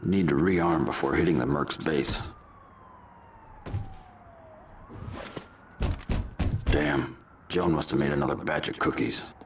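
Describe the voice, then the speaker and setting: An actor playing a grim, vengeful vigilante in a video game, terse, deadpan and menacing, with a gravelly voice. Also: male voice, rumbling low, moderate pace, roomy sound, a little hiss or hum. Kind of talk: brooding scripted game acting.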